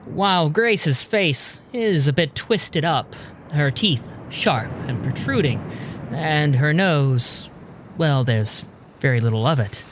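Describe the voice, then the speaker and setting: A man narrating a scripted audio drama in a measured, descriptive manner. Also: fairly high pitch, a little hiss or hum. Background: music.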